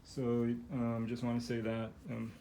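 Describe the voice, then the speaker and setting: A man's voice: mid-range voice, monotone, unhurried, warm tone, slight background noise, rough recording. A man who is losing his voice, rambling through a casual home vlog as he shows his pets' setup in his living room, with lots of fillers and restarts. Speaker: guinea pig owner showing his setup.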